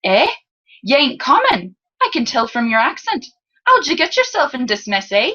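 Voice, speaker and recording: Woman voicing a cheeky rough-spoken boy, higher pitched. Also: very animated, moderate pace, a bit bright, lo-fi sound, exaggerated voice. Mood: curious, cheeky. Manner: colloquial, teasing, clipped rustic dialect. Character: cheeky lower-class boy captive, friendly and rough-spoken.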